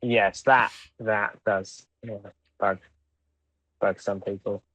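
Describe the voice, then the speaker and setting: A man co-hosting a podcast in casual chat, speaking in halting pieces and repeating words, on a dull microphone. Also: on the low side, steady tone, fairly bright tone, no echo. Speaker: podcast co-host. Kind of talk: casual chat reviewing audio drama.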